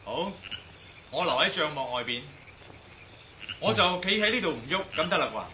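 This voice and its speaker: A determined adventurer probing a mystery in a radio drama. His voice is forceful and a little high, with a Hong Kong Cantonese accent and earnest assurance, over faint noisy ambience. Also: animated, moderate pace. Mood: reassuring.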